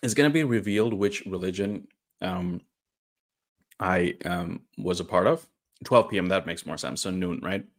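A man's voice: low-pitched, moderate pace. A young man from India telling a personal story to camera in a candid, self-correcting way. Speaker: vlogger.

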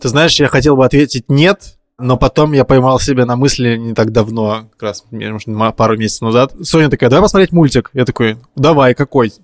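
A man's voice: mid-range voice, steady tone, fairly quick, no echo, clean studio sound. A man co-hosting a podcast, telling stories colloquially and acting out quoted reactions in casual chat. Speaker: podcast co-host.

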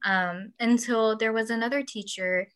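A bright-toned young woman, her first language Urdu, talking in a loose, chatty way. She laughs often and slips into other people's voices when retelling stories. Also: on the high side, steady tone, normal speed, clean tone. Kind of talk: casual chat.

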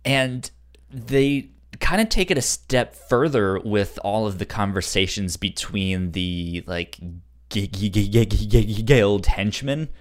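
A man's voice: fairly low pitch, slow pace. A man chatting casually as a podcast co-host, amused and enthusiastic, often stammering and repeating words. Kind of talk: casual chat, fan discussion of a TV show.

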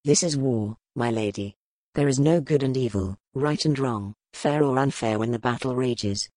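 A woman voicing a grim, powerful male duke, speaking low, measured and brooding in an audiobook. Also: dark tone, no echo. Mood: tender. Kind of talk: audiobook character voice.

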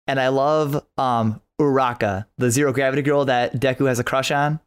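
A man's voice: mid-range voice, steady tone, moderate pace, clean tone. A man in a casual podcast chat, talking as an enthusiastic fan and often repeating himself as he goes. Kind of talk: casual chat about an anime.

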